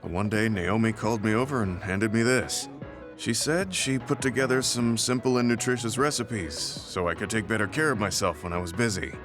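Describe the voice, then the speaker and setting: A kind, weary veteran soldier performed by a male actor for a video game. His voice sits on the low side and he speaks in measured, reflective phrases that carry long guilt. Prominent music plays behind him. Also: lightly acted. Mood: gentle, reflective. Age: middle-aged. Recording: studio voice under loud game music.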